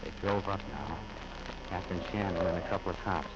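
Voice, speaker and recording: Guy playing a broken guilty man confessing, halting, old radio thriller, muffled and really hissy. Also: sits low, close to an everyday voice. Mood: wistful, resigned. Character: despairing guilt-ridden husband, self-confessed killer and failure. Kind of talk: 1940s radio drama acting. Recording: muffled, noisy vintage radio recording.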